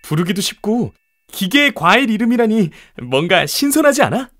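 A man's voice: high voice, very animated, normal speed, fairly bright tone. An actor playing a confident, ambitious young male inventor in a children's audio storybook, earnest and emphatic.

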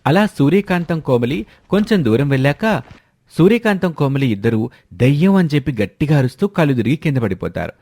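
A man's voice: on the high side, big pitch swings, brisk, darkish tone, no room sound. A man narrating a scripted moral story as its storyteller.

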